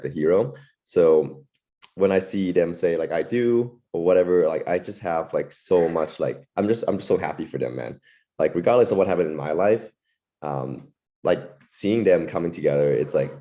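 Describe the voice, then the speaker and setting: A man's voice: low-pitched, dark tone. A young man from Thailand, a wedding photographer, chatting casually as a guest, loose and laid-back with many fillers. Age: young adult. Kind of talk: casual interview chat.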